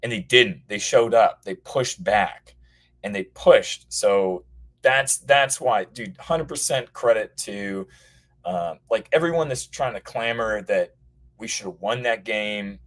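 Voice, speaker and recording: Hoarse man rambling on a call-in show. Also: on the low side, slow, a bit bright. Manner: rambling, many restarts and fillers. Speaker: caller. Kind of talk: casual call-in chat.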